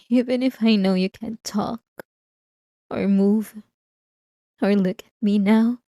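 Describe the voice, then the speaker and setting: A woman's voice: on the high side, mellow, dry recording, clean studio sound. A woman playing an unhinged, possessive and obsessive wife in a dramatic ASMR roleplay, intense and swinging between pleading and menace. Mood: desperate, delusional. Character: unhinged possessive, obsessive young wife confronting her husband.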